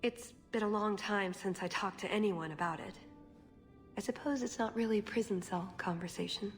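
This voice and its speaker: A woman playing an earnest, idealistic elven spy in a video game, speaking with measured sincerity. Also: mid-range voice, faint music behind, lightly acted. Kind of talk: video game cutscene acting.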